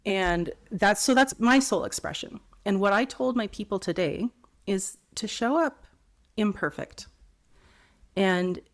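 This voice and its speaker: A woman vocal coach, a little hoarse after a cold, calmly guiding listeners through breathing exercises. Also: medium pitch, unhurried. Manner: calm, instructive, gently encouraging. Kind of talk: guided breathing lesson.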